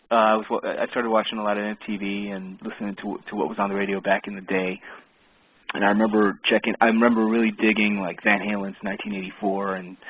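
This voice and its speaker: A man's voice: fairly low pitch, breathy edge. A man in his forties with an American accent, a musician guest telling his story in a friendly, rambling way over the phone. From Soviet Union, raised in Ohio. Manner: rambling, friendly, with light laughs. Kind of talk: phone interview. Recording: tinny, dry phone line.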